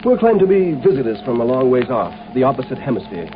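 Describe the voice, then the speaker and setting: Through a tinny, hissing 1950s radio recording comes a blunt American military marshal acted by a man. His delivery is commanding and sarcastic, with big swings in pitch, as in a science fiction serial. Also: sing-song, quick pace, lightly acted. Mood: brisk, sardonic. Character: gruff, sarcastic military marshal of a galactic empire. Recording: scratchy, noisy 1950s radio broadcast.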